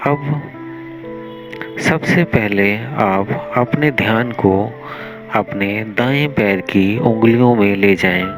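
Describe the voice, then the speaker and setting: A man with an Indian accent leading a guided meditation in a measured, instructional way, pausing between short phrases. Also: on the low side, low-quality recording. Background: music.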